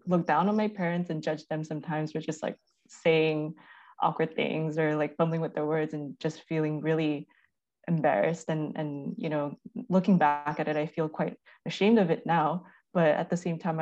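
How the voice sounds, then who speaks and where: A woman from Canada, a research analyst, speaking reflectively and softly in a panel discussion. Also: sits low, dull audio. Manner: reflective, soft-spoken, repeats words.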